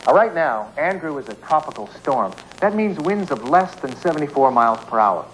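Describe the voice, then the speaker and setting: An actor playing an earnest, insistent TV hurricane forecaster, serious and explanatory in delivery. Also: male voice, a little high, big pitch swings, moderate pace, a little hiss or hum, rough recording. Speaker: TV weather forecaster. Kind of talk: film drama dialogue and broadcast delivery.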